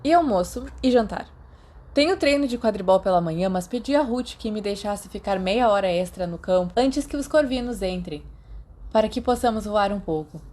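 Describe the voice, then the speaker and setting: A woman's voice: mid-range voice, expressive, moderate pace, dark tone, close to an everyday voice. Storybook schoolboy, friendly and self-assured, Brazilian accent, performed by a female narrator. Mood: planning eagerly. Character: friendly, easygoing teenage schoolboy.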